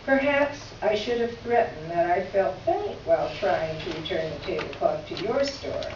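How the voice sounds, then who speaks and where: A woman's voice: lowish voice, expressive, normal speed, darkish tone, close to an everyday voice. A woman playing a gracious, wry letter writer in a staged reading, speaking in formal, articulate phrases. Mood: wry, candid. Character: witty, gracious woman writing a candid letter. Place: echoey indoor room, recorded from afar. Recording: echoey room, distant mic, muffled, faint noise.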